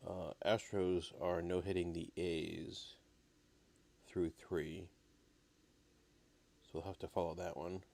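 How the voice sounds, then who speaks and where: A man with a very low voice and a gravelly creak hosts a casual live baseball stream. He sounds a little raspy, as if recovering from an illness. His play-by-play is low-key and unhurried. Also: flat delivery, a little mellow. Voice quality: slightly raspy. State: getting over an illness, coughing.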